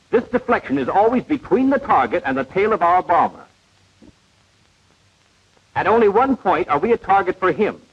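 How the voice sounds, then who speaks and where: A man playing a patient, precise, didactic gunnery instructor in a 1940s animated training film. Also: high voice, slightly rough, exaggerated voice. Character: patient, know-it-all male gunnery instructor, precise and unflappable. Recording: dull vintage 1940s film soundtrack.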